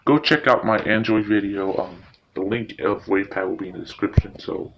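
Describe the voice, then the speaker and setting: A man's voice: lowish voice, fairly quick. A man hosting a casual screen tutorial, talking informally and hesitantly through each step. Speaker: tutorial video host. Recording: muffled lo-fi mic with loud noise and clicks.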